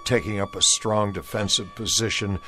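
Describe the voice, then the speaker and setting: An actor playing a skeptical, uneasy Confederate soldier in a radio play, speaking in a stern, earnest manner. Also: male voice, lowish voice, moderate pace, sharp tone. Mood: resolute. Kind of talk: dramatic radio play acting.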